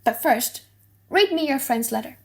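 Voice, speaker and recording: A woman's voice: sits high, animated, moderate pace. A woman voicing a loving, wealthy young noblewoman in an audiobook play, commanding and reassuring. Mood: brisk, loving. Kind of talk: dramatic audiobook reading of a play.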